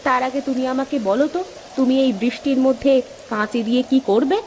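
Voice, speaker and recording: Cartoon casting: village wife voiced by a woman, very high voice, caring and insistent, faint rain behind. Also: fairly even intonation, fairly quick, darkish tone, a little hiss or hum, lightly acted. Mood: concerned, insistent. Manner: insistent, concerned. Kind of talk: cartoon dialogue.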